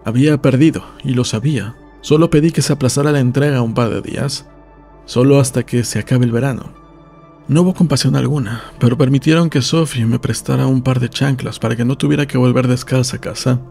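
A man's voice: mid-range voice, a little fast, creaky, darkish tone, soft background music. A man reading a literary story aloud as a scripted audiobook narration.

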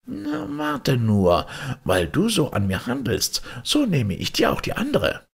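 A man's voice: mid-range voice, wildly swooping pitch, a little slow, vocal fry, slightly rough, pitched above the performer's own voice, full character voice. A man voicing a resentful, menacing well ghost in a storybook, grumbling and scolding. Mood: aggrieved, threatening.

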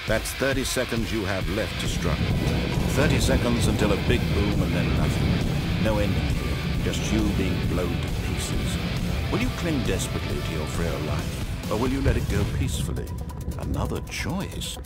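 A man's voice: fairly low pitch, expressive, moderate pace, over loud music, natural voice. A man playing an omniscient, sardonic video game narrator, articulate and condescending, toying cruelly with his character. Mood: cold menace. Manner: theatrical, mocking, condescending, articulate.

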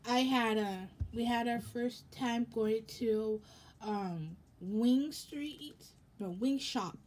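A young American woman chatting casually for a haul vlog in a rambling, halting way, with a slightly raspy voice. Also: fairly high pitch, a little mellow. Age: young adult. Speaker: vlogger. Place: indoors in a home room.

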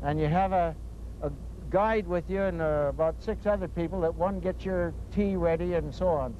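A man's voice: fairly high pitch, moderate pace. An older man chatting casually as a guest, telling anecdotes out on a small boat. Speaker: fishing guest. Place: small boat on open water. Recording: dull tape, outdoor boat noise.

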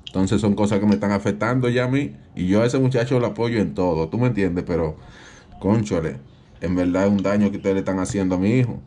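A man acts out an indignant Dominican dad who claims police rank during a prank call. He presses his point again and again, protective of his son. The voice sits low and is dark and mellow. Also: fast talker, lightly acted. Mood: pleading, insistent. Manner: insistent, repetitive. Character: aggrieved protective father claiming to be a police captain. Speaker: prank caller. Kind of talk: improvised prank phone call.

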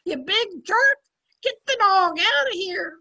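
A woman's voice: very high voice, animated, unhurried, pushed and bright, lo-fi sound, full character voice. An older woman playing a brash, motherly, outspoken maid in old-time radio comedy style. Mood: indignant. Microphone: video call computer mic.

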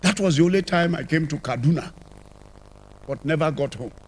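An older man with a Nigerian accent, telling his conversion testimony as a church apostle, with sudden rises and drops in loudness, on a handheld mic in a large church. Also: a little high, brisk, a little hiss or hum. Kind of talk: testimony sermon. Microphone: handheld microphone.

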